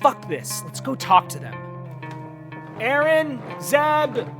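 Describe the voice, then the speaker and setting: A man's voice: extremely high pitch, big pitch swings, slow, a bit bright, exaggerated voice. An actor playing a furious, hot-headed man who feels betrayed, blunt and confrontational in an audio drama. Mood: angry, disgusted. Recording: guitar music behind the voice.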